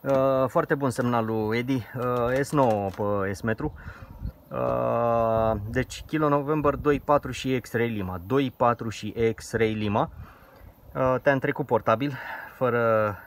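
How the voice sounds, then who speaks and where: A man, an amateur radio operator, speaking into a station microphone in a measured, technical way with small hesitations. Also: medium pitch, a little hiss or hum. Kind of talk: radio contact exchange.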